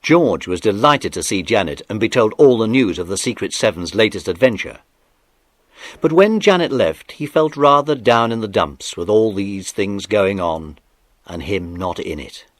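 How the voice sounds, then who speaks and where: A man narrating a children's story in an expressive, dramatic scripted style. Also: fairly low pitch, moderate pace, no room sound, clean studio sound.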